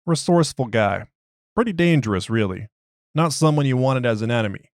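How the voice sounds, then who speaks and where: A man's voice: medium pitch, lively intonation, fairly quick, no room sound, clean studio sound, lightly acted. A man voicing an agitated, streetwise prisoner who argues his case in slangy, ungrammatical, exasperated speech. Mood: grimly earnest. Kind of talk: dramatized interview log.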